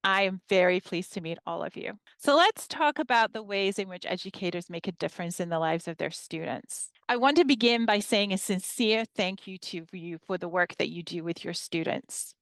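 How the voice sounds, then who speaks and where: A woman in her fifties from northern England, a history professor giving a measured workshop presentation to educators and reading her slides aloud. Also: on the low side, lively intonation, a bit bright, dry recording.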